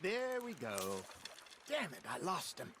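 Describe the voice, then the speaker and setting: Folksy older outlaw fisherman, wry storyteller, lively swooping delivery, western game, noisy outdoor ambience. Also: sits high, unhurried, exaggerated voice. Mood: encouraging. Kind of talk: cinematic western game acting. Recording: clear outdoor ambience noise behind.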